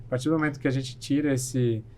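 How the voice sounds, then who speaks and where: A man's voice: medium pitch, fairly even intonation, fast talker, a little mellow, slight background noise. A man talking as a podcast guest, thoughtful and hesitant, often restarting his sentences. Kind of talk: casual chat.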